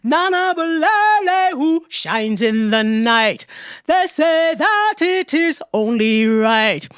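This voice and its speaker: A woman storyteller voicing a brave young village girl who calls out in a slow, half-sung chant. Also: high voice, big pitch swings, no echo, studio quality, voice pushed up, exaggerated voice. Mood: bold, pleading. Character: brave young African village girl calling to water creatures.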